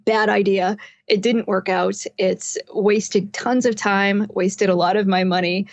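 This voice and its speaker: A woman's voice: medium pitch, steady tone, unhurried, dry recording. A woman speaking as an interview guest, telling stories conversationally over an internet call. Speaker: interview guest, psychotherapist and author. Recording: dull, dry internet call audio.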